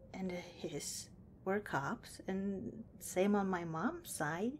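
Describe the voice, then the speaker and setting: A woman playing an affectionate, shy cop in a soft-spoken, intimate ASMR roleplay, gentle and hesitant with her partner. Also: mid-range voice, a little hiss or hum. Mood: playful, relaxed.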